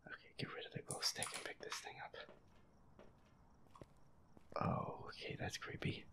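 A gamer giving hushed, breathy horror game commentary in a nervous, hesitant, profane mutter. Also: normal speed, in a whisper. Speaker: gamer playing a ghost-hunting game.

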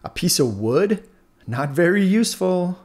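A man's voice: high-pitched, sing-song, a little slow, a little mellow, pitched above the performer's own voice. A man voicing a boastful, sneering talking earbud in a children's storybook, mocking and bragging.

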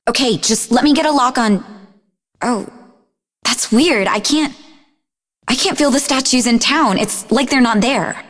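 An actress playing a sarcastic, sharp-tongued young woman scientist in a video game, with a mocking, snappy manner. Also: on the high side, a little slow, long pauses. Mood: wry, uneasy. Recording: long reverberant hall echo.

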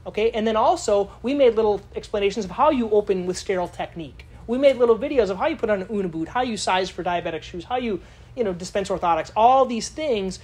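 A woman's voice: medium pitch, animated, quick pace, a little mellow, slightly rough. A woman giving a live lecture to a conference audience in a casual, chatty way, often asking the room questions. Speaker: conference speaker.

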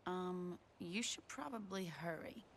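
An actress playing a laid-back young stoner gang lieutenant in a video game, casual, flippant and slangy. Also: female voice, on the low side, a little slow. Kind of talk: video game cutscene acting. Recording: long hall reverb, faint noise.

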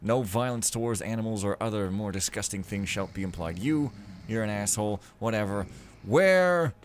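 A man's voice: fairly low pitch, expressive, moderate pace, slight background noise. Sarcastic rambling man playing a game. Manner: sarcastic, rambling, jokey asides. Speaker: let's play commentator. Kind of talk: casual gameplay commentary.

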